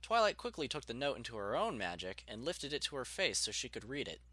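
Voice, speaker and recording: Male narrator, medium pitch, lively intonation, crisp bright tone, scripted storytelling, dry sound. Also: fairly quick, no room sound.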